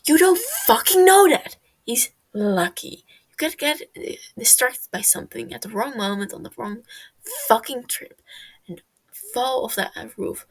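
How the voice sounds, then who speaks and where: A woman's voice: a little high, expressive, unhurried, low-quality recording. A woman voicing a brash, foul-mouthed sixteen-year-old boy in an audio story, blustering and tripping over words. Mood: panicked. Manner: swearing, blustering, stumbling over words in agitation.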